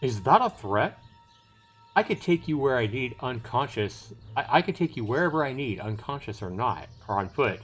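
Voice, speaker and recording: A man's voice: mid-range voice, very animated, normal speed, a bit bright, slightly rough, faint music behind, voice pushed up. Man reading as arrogant taunting elf captor. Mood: cold challenge. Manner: curt, condescending.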